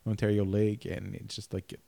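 A man's voice: lowish voice, little pitch movement, a little fast, gravelly, warm tone, no room sound. A man co-hosting a casual film discussion, often breaking off and restarting his sentences mid-thought. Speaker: podcast co-host.

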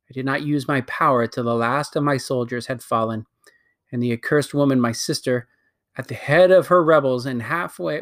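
A man voicing a proud, ruthless queen with a slow, stately, commanding delivery. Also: medium pitch, dry recording, studio quality, close to an everyday voice.

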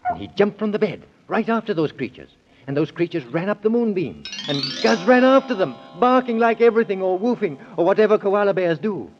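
An actor playing a gentle, playful father telling a bedtime story in 1930s radio style, indulgent and dramatic. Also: high-pitched, animated, brisk, faint music behind. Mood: excited storytelling. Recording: dull old 1930s radio recording.

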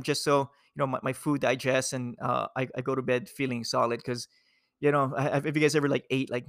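Young guy from hawaii, chill nutrition coach rambling w lots of ums and dudes, medium pitch, dry sound. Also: a bit bright, dry recording. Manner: laid-back, rambling, full of fillers and "dude". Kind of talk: casual talk to viewers.

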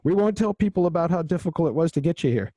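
A man's voice: fairly high pitch, lively intonation, fast, dark tone, no echo. A man hosting an interview show, friendly and eager, often restarting his sentences. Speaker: podcast host.

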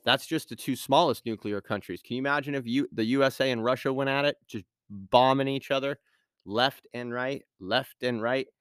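A man's voice: medium pitch, moderate pace, a bit bright, clear, resonant tone, dry recording. A man hosting his own podcast in a studio, answering questions in a casual, rambling way, his voice scratchy from a sinus infection. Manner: casual, rambling, with frequent fillers and restarts. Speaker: podcast host. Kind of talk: solo podcast Q&A.

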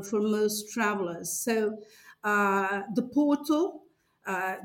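A woman with a Portuguese accent speaks slowly at a medium pitch. She is an interview guest, halting now and then as she searches for words. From northern Portugal. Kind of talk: promotional interview answer.

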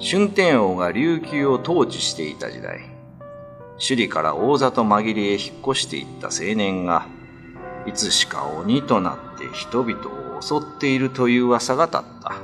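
A man narrating an old ghost story in a scripted, dramatic radio reading style. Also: fairly low pitch.

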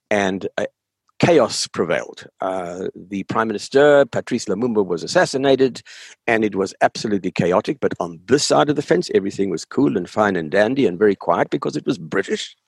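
An older man with a South African accent and a husky voice, telling stories conversationally in an interview, emphatic and often repeating words as he goes. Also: fairly low pitch, no room sound. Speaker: interview guest, author. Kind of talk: conversational storytelling.

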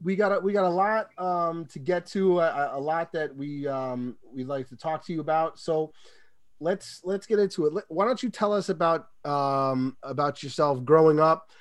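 A man's voice: fairly high pitch, moderate pace, dry recording. Upbeat podcast host man, dry sound. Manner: upbeat, lots of ums while framing questions. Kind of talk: casual podcast interview.

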